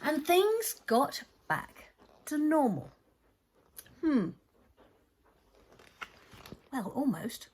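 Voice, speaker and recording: A woman reading a picture book aloud to young children, expressively, with dramatic pauses and hushed phrases. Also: high-pitched, huge pitch swings. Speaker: children's story reader.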